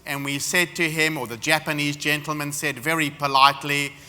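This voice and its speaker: A man's voice: on the high side, steady tone, brisk, bright, forceful tone, clear, resonant tone. A man from South Africa with a South African accent, giving a confident, anecdotal seminar talk as guest speaker in an expo hall. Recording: reverberant hall.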